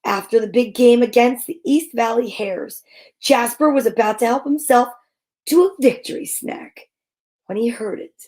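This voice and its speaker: A woman reading a children's picture book aloud in a theatrical, suspenseful, drawn-out way. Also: a little high, darkish tone. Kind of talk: dramatic children's story read-aloud.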